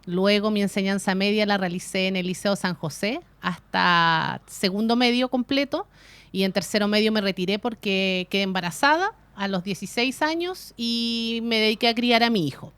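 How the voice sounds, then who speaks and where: A woman's voice: medium pitch, normal speed, fairly bright tone, clean tone, no room sound. A Chilean woman in her thirties, a guest on a radio show, telling her life story in a chatty, colloquial way with easy laughter. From Chile, Aysén region. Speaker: guest, city councillor and radio announcer. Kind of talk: casual radio interview. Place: radio studio.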